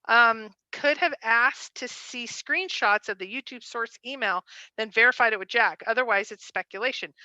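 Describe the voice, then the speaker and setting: A woman's voice: on the high side, bright, forceful tone, no echo, muffled recording. A woman co-hosting a livestream, chatting casually and frankly, often digressing and reading out viewer comments. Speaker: livestream co-host.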